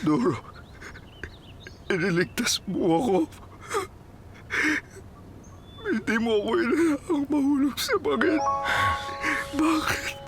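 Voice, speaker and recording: A man's voice: very high voice, unhurried, pauses often, over music. A male actor playing a terrified, guilty man who begs and stammers in a Filipino radio drama. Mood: tearful, grateful. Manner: pleading, stammering, frantic. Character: terrified, guilty man begging for his life.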